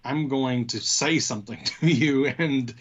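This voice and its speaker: A man co-hosting a casual tech discussion, talking in a halting way as he thinks aloud. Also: mid-range voice, a bit bright, husky, dull audio. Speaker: co-host of a tech show.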